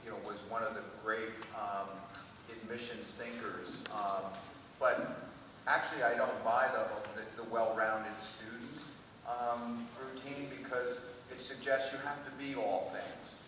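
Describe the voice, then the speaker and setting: A man's voice: medium pitch, fairly even intonation, unhurried. Man on a panel in an echoey hall. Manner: informal, conversational. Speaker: college admissions officer on a panel. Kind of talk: panel discussion, anecdotal. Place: large room at a public panel event. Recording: echoey hall from far off, noisy.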